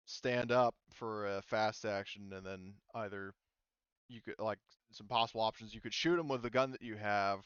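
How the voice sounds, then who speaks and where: Smooth-voiced man running a game, muffled sound. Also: on the low side, fairly bright tone, dry recording. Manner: informal, talks through rules as he reads them. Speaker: game master. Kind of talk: casual tabletop game narration and rules talk.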